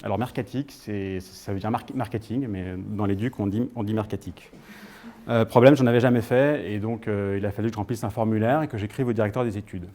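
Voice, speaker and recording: Low-pitched Frenchman telling his story, hesitant. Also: fairly even intonation, brisk, mellow. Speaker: guest speaker. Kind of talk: personal career-story talk to an audience.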